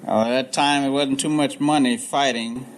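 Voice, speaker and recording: A man's voice: medium pitch, steady tone, moderate pace. A man from Texas and Arizona giving brief, plain answers as a contestant on a 1950s radio quiz. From Texas and Arizona, United States. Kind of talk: answering interview questions. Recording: noisy 1950s radio show recording.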